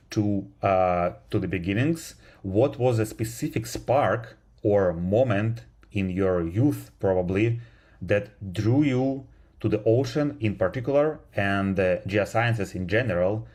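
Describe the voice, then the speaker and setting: A man's voice: on the low side, a little slow, darkish tone. A man hosting a science podcast, who reads a scripted introduction and then asks questions with a few fillers. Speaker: podcast host.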